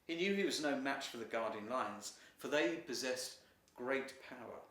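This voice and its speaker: A man reading a children's story aloud as a storyteller, with dramatic, expressive delivery. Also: mid-range voice, moderate pace. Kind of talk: scripted storytelling narration. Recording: roomy echo, distant from mic.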